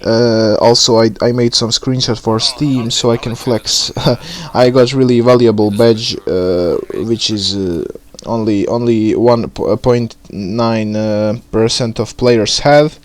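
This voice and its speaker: A man with a Bosnian accent, a native Bosnian speaker, introducing his gaming video in English with many pauses and fillers. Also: lowish voice, steady tone. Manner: halting, many fillers, plain word choice. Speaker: gaming YouTuber. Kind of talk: casual intro to camera.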